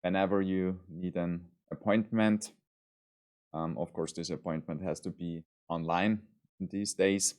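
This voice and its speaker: A man with an Austrian accent delivers a university lecture online. His voice sits very low, and he speaks in a measured, formal way with frequent ums and restarts. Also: steady tone, a little mellow.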